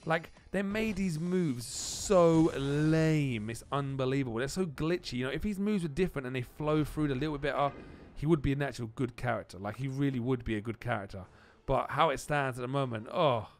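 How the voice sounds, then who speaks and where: A man giving casual, excitable gameplay commentary as a video host, often repeating phrases for emphasis. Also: on the high side.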